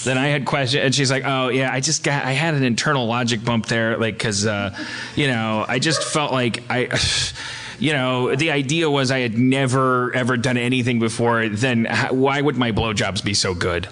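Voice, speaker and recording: A man's voice: medium pitch, fairly even intonation, normal speed. A man hosting a casual talk show, stammering and repeating words as he thinks aloud. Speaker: host of a comedy talk show. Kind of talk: casual rambling chat before a live audience. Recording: clear background noise, live show.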